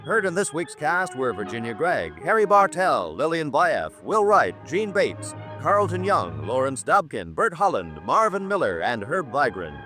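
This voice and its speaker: Male radio announcer, American, 1950s style. Polished, measured, animated intonation over prominent music. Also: fairly high pitch.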